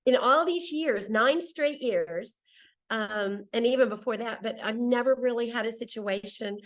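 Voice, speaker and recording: A woman's voice: fairly high pitch, a little slow, rough recording. A woman in her sixties, a native English speaker, tells stories casually as an interview guest, often interrupting herself and acting out quotes. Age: 60s. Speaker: interview guest, solo traveler. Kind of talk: casual conversational storytelling.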